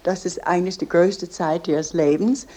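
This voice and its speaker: A woman's voice: lowish voice, steady tone, moderate pace, warm tone. A woman explaining history in plain spoken style, like a guide.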